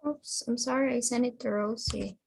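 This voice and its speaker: A woman's voice: fairly high pitch, steady tone, moderate pace, creaky, slight background noise. A woman teaching an English class online, explaining in simple phrases, repeating herself and checking that students follow. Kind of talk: online lesson, explaining words and questioning students.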